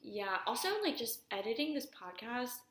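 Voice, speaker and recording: A young woman with a raspy voice co-hosting a podcast, talking frankly and relaxed in long run-on thoughts. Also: on the high side, normal speed. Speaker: podcast co-host. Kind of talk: casual chat. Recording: echoey room.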